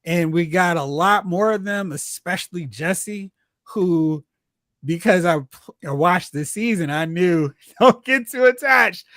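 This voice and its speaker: A host chatting casually about pop culture, retelling reactions in slangy, animated asides. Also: mid-range voice, measured pace, no room sound, studio quality. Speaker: host of a film and TV discussion show.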